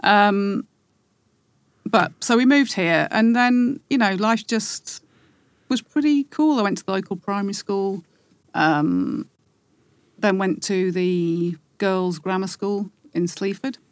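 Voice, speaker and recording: A woman's voice: medium pitch, slow pace, dry recording. Middle-aged woman, reflective, faded geordie accent. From England. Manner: reflective, rambling with many ums and restarts. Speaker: podcast guest. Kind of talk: casual interview chat.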